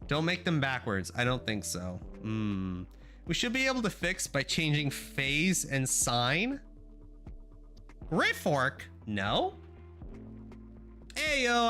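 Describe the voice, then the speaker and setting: A young man streaming himself coding, chatting casually with viewers, swearing and getting excited as he works. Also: fairly high pitch. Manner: excitable, swearing, talks to chat while coding. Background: faint music.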